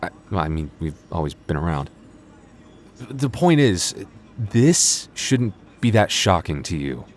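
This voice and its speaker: A man's voice: low-pitched, sing-song, a little slow. A man acting a flustered young vampire store clerk in an audio roleplay, stammering nervously and awkwardly. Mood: exasperated, defensive. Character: flustered young male vampire working convenience store night shift. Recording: faint background noise.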